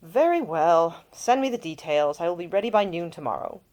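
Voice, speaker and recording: A woman's voice: lowish voice, animated, moderate pace, a little mellow, highs cut off, close to an everyday voice. A woman voicing a jaded young male earl in an audiobook, curt, dry and guarded in his answers. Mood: resigned.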